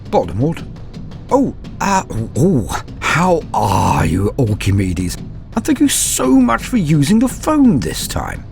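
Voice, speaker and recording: A man's voice: a little high, huge pitch swings, slow, gravelly, darkish tone, faint music behind, pitched above the performer's own voice, full character voice. A man playing a flustered, placating loremaster in a comic one-sided phone call, hesitant, muttering and theatrical. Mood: flustered, polite.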